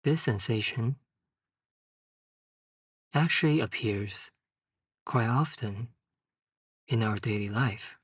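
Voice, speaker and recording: A man leading a guided meditation, speaking in short, unhurried phrases with long pauses. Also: on the low side, husky, studio quality. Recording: distant mic in a roomy, echoing space.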